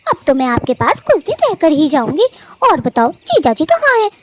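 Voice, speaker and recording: Lady doing a teasing little sister bird for a cartoon, pert n high pitched, super swoopy. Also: huge pitch swings, normal speed, slight background noise. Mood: chirpy, then mock sulky. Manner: pert, teasing, mock-sulky. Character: playful, cheeky young female bird, teasing younger sister. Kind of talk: animated cartoon story dialogue.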